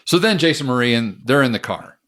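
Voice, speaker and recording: A man's voice: mid-range voice, expressive, fast talker, pushed and bright. A man co-hosting a podcast in casual chat, rambling, laughing often and correcting himself. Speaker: podcast co-host.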